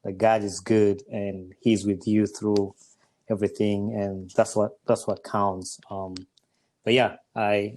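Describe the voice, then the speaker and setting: Man from Uganda, young podcast host, low warm voice, relaxed earnest chat. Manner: relaxed, earnest, frequent fillers and affirmations. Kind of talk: casual interview.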